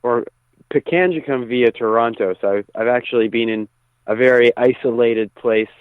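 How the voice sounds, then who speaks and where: A man speaks as a phone-in interview guest through a narrow, dull telephone line. His delivery is casual and a little hesitant, at an ordinary medium pitch. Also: moderate pace, smooth, dry recording. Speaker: band lead singer, interview guest.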